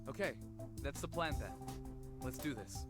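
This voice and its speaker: A woman's voice: rumbling low, lively intonation, moderate pace, voice pushed down. A woman voicing a sardonic, skeptical partner in crime from a visual novel game, with a dry, doubting manner. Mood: scheming. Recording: music behind the voice.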